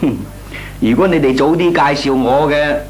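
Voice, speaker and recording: An actor playing a cocky, slangy young layabout nephew in a Cantonese radio comedy drama, scoffing and flippant, with a Hong Kong Cantonese accent. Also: male voice, on the high side, a little mellow, soft background music, close to an everyday voice. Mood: grumbling. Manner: scoffing, flippant, drawn-out theatrical delivery. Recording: noisy old radio recording with hum.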